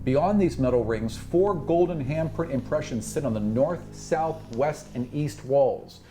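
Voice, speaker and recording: A man's voice: on the high side, lively intonation, unhurried, slight background noise. A man working as game master, narrating a tabletop fantasy game in a dramatic, descriptive way. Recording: loud music under the voice. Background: music.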